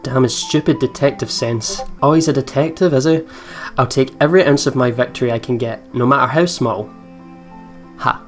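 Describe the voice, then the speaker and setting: A man's voice: mid-range voice, normal speed. Male let's play host, mid-range voice, narrating story text with casual asides, light guitar music behind. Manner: informal, giggly, sweary asides. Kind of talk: reading a visual novel aloud with casual reactions. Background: game's guitar music.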